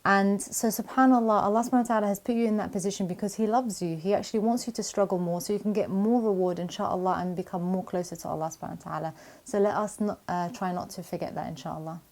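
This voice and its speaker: A woman teaching Quran memorization, answering viewer questions in a calm, encouraging, measured way, with Arabic religious phrases woven in. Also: medium pitch. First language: not Arabic. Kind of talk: Q&A video talk to camera.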